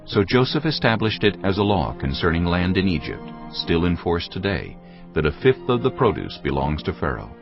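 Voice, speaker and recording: Deep creaky guy narrating the bible, lively intonation, soft music behind, bit muffled. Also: normal speed. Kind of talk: dramatized scripture narration. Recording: dull lo-fi audio. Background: faint music.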